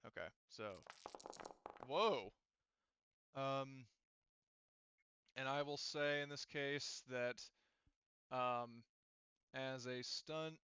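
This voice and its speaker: A man acting as game master for a tabletop roleplaying game, narrating casually and haltingly as he thinks aloud. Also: mid-range voice, sharp tone, clean tone, dry recording, muffled recording.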